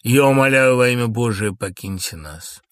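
A man's voice: medium pitch, lively intonation, moderate pace, dry recording, close to an everyday voice. Stage drama duke read by a male performer: proud, agitated, declamatory, pleading, in a dry close sound. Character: anguished, imperious Italian duke, proud and wildly agitated. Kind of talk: dramatic play reading.

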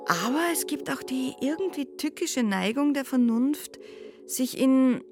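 An actress playing a measured, philosophical commentator in a radio play, speaking deliberately and formally. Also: female voice, high voice, animated, faint music behind. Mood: reflective. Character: measured, reflective female commentator with long professional experience. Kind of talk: essayistic monologue in a radio play.

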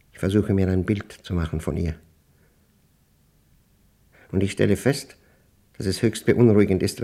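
An actor playing a brooding, suspicious old widower who speaks a halting, introspective diary monologue in a German radio play. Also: male voice, low-pitched, mellow. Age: older. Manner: halting, deliberate, broken phrases.

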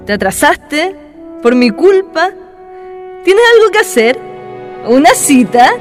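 An actress playing a polite, eager young student in a radio drama. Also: female voice, high voice, sing-song, takes it slowly, faint music behind, heavily acted. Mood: pleading, teasing. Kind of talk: Spanish-language radio drama acting.

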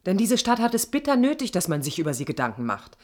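A woman's voice: medium pitch, big pitch swings, quick pace, close to an everyday voice. An actress playing a defiant, idealistic young woman architect in radio drama dialogue, insistent and challenging. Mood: defiant, passionate.

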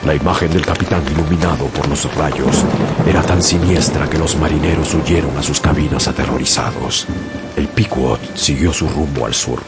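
A man narrating a whaling voyage as a sailor in a radio drama, with a deep, gravelly voice. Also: steady tone, normal speed. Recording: radio drama with music bed.

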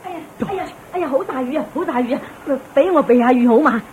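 A woman voices a fretful farm woman who frets and pleads in a high, anxious voice. An old Cantonese radio play with clear background hiss. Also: quick pace, a little mellow, highs cut off, close to an everyday voice. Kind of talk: 1980s Cantonese radio drama.

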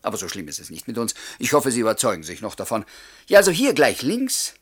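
A man's voice: medium pitch, huge pitch swings, quick pace, slightly rough, no echo, studio quality. An actor playing a genial writer in his late twenties, narrating a radio drama and courteously confiding in his listeners. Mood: cheerful, inviting. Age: 20s. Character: chatty, genial 29-year-old writer showing visitors around.

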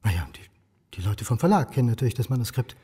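An uneasy historian with a dry wit in a radio crime drama. His voice is mellow and husky at a middling pitch, moving between dialogue and inward narration. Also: very animated, fast. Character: uneasy, wry male historian, targeted academic. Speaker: radio drama lead and first-person narrator.

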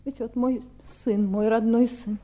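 Woman doing timid emotional middle-aged auntie, old radio drama, soft dark voice, fretful. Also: on the high side, fairly even intonation, unhurried, natural voice. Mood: anguished. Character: fragile, loving, fretful middle-aged aunt. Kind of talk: 1970s Soviet radio drama acting.

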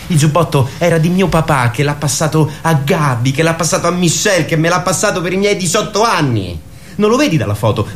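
A naive young guy of about eighteen in a radio play, his voice a bit high. He rambles eagerly in street slang and swears freely, over faint background noise. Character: eager, naive eighteen-year-old lad chasing a job. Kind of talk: theatrical radio drama acting.

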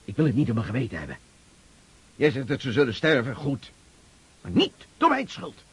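An actor playing a gruff, protective, fatherly army officer with a conscience in a radio drama. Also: mid-range voice, huge pitch swings, brisk, a bit bright, full character voice. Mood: indignant.

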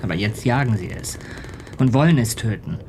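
A man's voice: on the low side, expressive, normal speed, noisy background, close to an everyday voice. A man playing an attentive, probing listener to a confession in a radio drama, in a questioning manner. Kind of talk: German radio drama acting.

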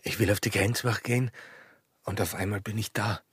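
A man acting a brash border guard in a radio drama, with a rough, husky voice and an Austrian accent. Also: fairly low pitch, fairly even intonation, fairly quick. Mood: low, lovesick. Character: brash, taunting border guard besotted with another's wife.

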